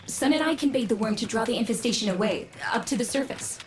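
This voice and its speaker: A woman's voice: on the high side, lively intonation, fast talker, a little hiss or hum, close to an everyday voice. A woman playing an earnest, testy daughter from a family of scientists in a video game cutscene. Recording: music behind the voice.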